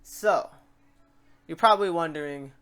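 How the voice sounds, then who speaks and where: A tutorial host talking the viewer through software steps in a casual, animated, slangy way, acting out a learner's questions and exclamations. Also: medium pitch, a little slow, clean tone, faint music behind.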